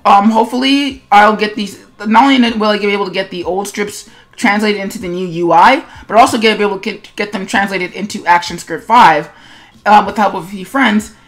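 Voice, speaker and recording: A woman's voice: mid-range voice, brisk, low-quality recording. A woman hosting a casual, unscripted speed-paint commentary as a webcomic artist, talking in a rambling, hesitant way and often correcting herself. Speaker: webcomic artist and video host.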